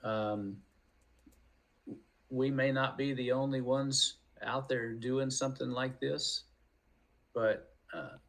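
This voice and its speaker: A middle-aged man co-hosting a faith podcast, talking casually and haltingly as he searches for words. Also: mid-range voice, fairly even intonation, fairly bright tone. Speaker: podcast co-host.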